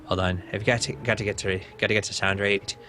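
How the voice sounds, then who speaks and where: A man's voice: on the low side, steady tone, quick pace, pushed and bright, slight background noise. A young man from Hawaii, talking casually as an interview guest, easygoing with frequent "uh" and "um". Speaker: interview guest, esports caster.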